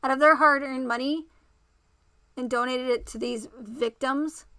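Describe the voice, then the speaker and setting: A woman hosting a casual livestream, talking straight to her viewers by name and repeating herself, her voice going raspy. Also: sits high, fairly even intonation, measured pace, pushed and bright, dry recording. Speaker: livestream host.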